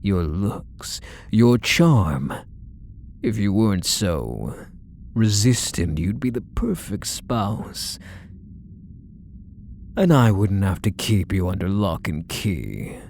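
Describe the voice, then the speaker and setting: Man acting a menacing possessive husband, slow and animated. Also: on the low side, gravelly, a little hiss or hum, heavily acted. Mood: possessive, smug. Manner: deliberate, menacing, condescending endearments. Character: possessive, controlling male kidnapper husband, coldly doting. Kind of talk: scripted ASMR roleplay, addressing the listener.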